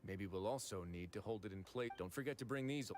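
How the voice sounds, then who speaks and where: An actor playing a calm male game hero who leads a rescue, speaking in a measured, composed way. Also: lowish voice, close to an everyday voice. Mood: steady. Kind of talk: game voice acting.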